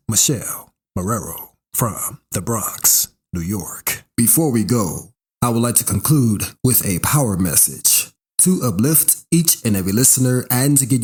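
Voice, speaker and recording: Man, show host, husky voice, lively intonation, motivational spoken word. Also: fairly low pitch.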